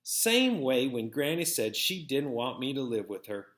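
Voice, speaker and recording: A man reading a first-person story aloud as narrator, with an expressive, folksy storytelling manner. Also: mid-range voice, a little slow. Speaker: read-aloud narrator, teacher. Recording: echoey, roomy sound.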